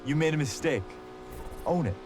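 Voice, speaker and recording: A man's voice: on the high side, expressive, moderate pace, noisy background, close to an everyday voice. An actor playing an easygoing, loyal young male street racer, trading teasing banter in a game cutscene. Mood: teasing, fond. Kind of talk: naturalistic game cutscene dialogue. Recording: music behind the voice.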